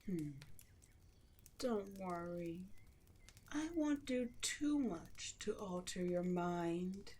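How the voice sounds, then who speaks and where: A woman playing an imperious, seductive demon queen in a roleplay audio drama, slow and theatrical, often slipping into a breathy whisper. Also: on the low side, lively intonation, darkish tone, slight background noise. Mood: gloating, possessive.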